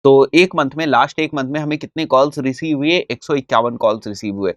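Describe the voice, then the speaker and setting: Casting: male Indian-accented instructor, average pitch, explanatory and didactic, code-switching into English, dry close-miked sound. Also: a little fast, dry recording. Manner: didactic, often checks with tags like "okay" and "right"; mixes English terms and phrases into speech. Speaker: digital marketing instructor. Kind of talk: explanatory tutorial, conversational.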